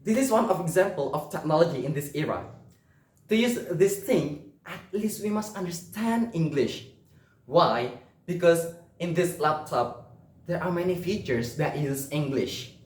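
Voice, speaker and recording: A man's voice: a little high, expressive, measured pace. A young man from Indonesia with an Indonesian accent, delivering a memorized formal speech as a contestant and addressing the audience again and again in a rhetorical way. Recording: echoey room, mic at a distance.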